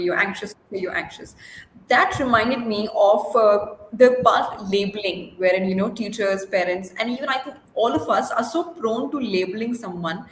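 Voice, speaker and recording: A woman with an Indian English accent and a mid-pitched voice, hosting a live session over a video call. She agrees often and asks long questions with hesitant fillers. Her voice echoes in a roomy space over faint noise. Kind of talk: live online interview.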